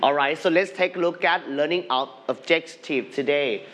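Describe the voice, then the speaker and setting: A male English teacher in a studio classroom, slowly leading a televised lesson and having students repeat words after him. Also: a little high, fairly bright tone, slight background noise.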